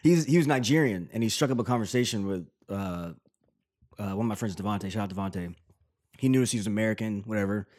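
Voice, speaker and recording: A man in casual conversation, laid-back, swearing freely and pausing on "uh". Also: lowish voice, a little mellow.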